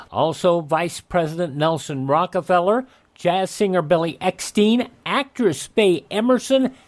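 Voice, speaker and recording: A man hosting a radio program, talking in an announcer-like way as he reads out items and pitches. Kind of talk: radio hosting and live-read ads.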